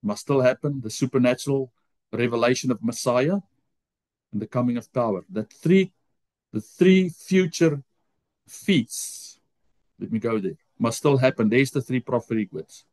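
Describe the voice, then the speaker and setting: A man with an Afrikaans accent, whose first language is Afrikaans, teaching a Bible college lesson over a video call. He reads scripture aloud slowly and often stops to correct himself. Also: medium pitch, darkish tone, no room sound.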